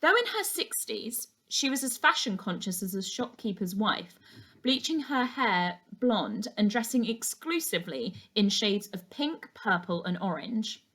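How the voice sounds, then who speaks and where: A woman narrating a children's novel aloud as a teacher would to a class, in an expressive storytelling style. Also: medium pitch, measured pace.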